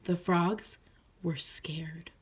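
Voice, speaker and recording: A woman speaking as a teacher in an expressive read-aloud style meant for children. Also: fairly low pitch, very slow, breathy edge. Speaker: teacher reading aloud to pupils.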